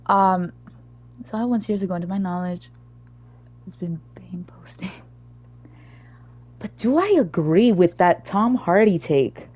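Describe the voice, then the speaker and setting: A young woman streamer with a naturally low, slightly hoarse voice, chatting casually and rambling between topics. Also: a little slow, long pauses, a little mellow. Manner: rambling, chatty, easily sidetracked. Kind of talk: casual gaming stream chat.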